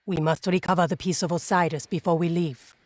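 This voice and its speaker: A woman voicing a solemn, resolute priestess of ancient Egypt in a video game, speaking gravely and with measure. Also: fairly low pitch, fairly even intonation, fairly quick, darkish tone, no echo, natural voice. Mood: determined. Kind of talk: video game cutscene acting.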